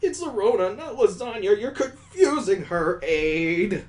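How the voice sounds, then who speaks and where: A man voicing an excitable, flustered teenage girl from a visual novel, whining and exclaiming in protest. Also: high voice, unhurried, pitched above the performer's own voice. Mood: indignant. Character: excitable, flustered airheaded fifteen-year-old girl. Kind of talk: visual novel character reading.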